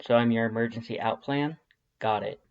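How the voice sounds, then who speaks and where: A man's voice: medium pitch, normal speed, dull audio, close to an everyday voice. A man voicing a laid-back, sardonic male skeleton in an audio drama, with casual, dry, slangy speech. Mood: dry, amused. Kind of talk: fan fiction audio drama acting.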